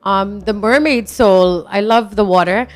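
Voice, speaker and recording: A woman's voice: medium pitch, a little slow, faint music behind. Chatty woman, laughing. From Trinidad. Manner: chatty, laughs often, many fillers. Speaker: interview guest. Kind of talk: casual interview chat.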